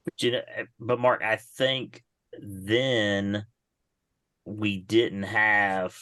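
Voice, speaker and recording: A man's voice: on the low side, little pitch movement, very slow, bright, forceful tone. A man co-hosting an EMS podcast, a veteran, teasing and cutting in during casual chat. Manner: teasing, interjecting.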